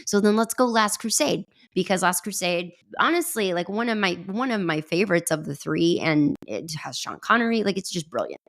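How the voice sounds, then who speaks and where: Creaky-voiced woman podcasting. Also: lowish voice, expressive, moderate pace, few pauses. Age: late 30s to early 40s. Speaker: podcast co-host. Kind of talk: casual chat about films and TV.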